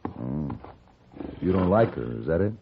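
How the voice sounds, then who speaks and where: An actor playing a calm, worldly gunfighter in an old-time radio western, speaking in a measured, well-spoken, probing way. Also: male voice, extremely low pitch, gravelly, full character voice. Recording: muffled vintage radio recording with music.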